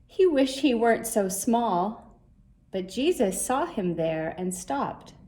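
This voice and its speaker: A woman reading a rhyming children's story aloud in a measured, expressive way. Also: fairly high pitch. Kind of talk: scripted storybook narration in rhyme.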